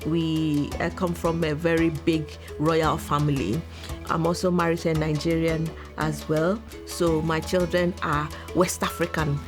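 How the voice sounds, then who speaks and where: Deep-voiced woman, proud and earnest. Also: flat delivery, measured pace. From Ghana. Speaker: interviewee. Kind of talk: documentary interview. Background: background music.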